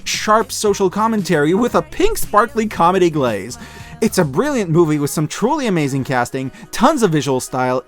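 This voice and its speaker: A man hosting a scripted review in a casual, joking, wry way, with expressive, emphatic delivery. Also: a little high. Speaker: host of a year-end media review show. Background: music behind the voice.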